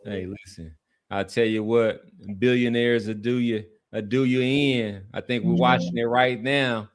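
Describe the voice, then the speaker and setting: Male podcast co-host, medium pitch, casual musing sports commentary, repeats phrases for emphasis. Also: steady tone, measured pace.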